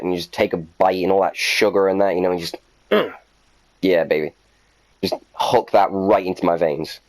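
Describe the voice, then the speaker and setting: A man's voice: low-pitched, a little mellow, poor audio. A man hosting a gaming video, chatting casually and reading documents aloud in a playfully self-mocking way. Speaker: gaming video host and reader.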